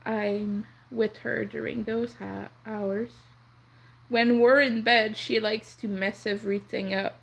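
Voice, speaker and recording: A young woman whose first language is French, chatting softly and rambling on a crochet livestream. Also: mid-range voice, fairly even intonation, slow, vocal fry, breathy edge, poor audio. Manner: soft-spoken, rambling, counts under her breath. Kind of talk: casual chat while crocheting.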